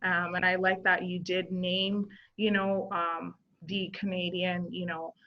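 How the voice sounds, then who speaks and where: A woman's voice: lowish voice, little pitch movement, measured pace. A woman in her thirties from Zimbabwe, a social worker guest, talking earnestly in a casual interview and thinking aloud with many fillers. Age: 30s. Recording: dull lo-fi sound.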